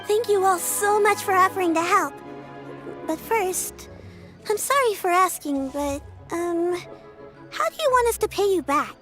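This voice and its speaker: A sweet, earnest game child, speaks in a very high voice. The child is polite and caring, with music softly behind. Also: female voice, expressive, a little slow, heavily acted. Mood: polite, hesitant. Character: polite, caring, resilient young child devoted to granny. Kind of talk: video game voice acting.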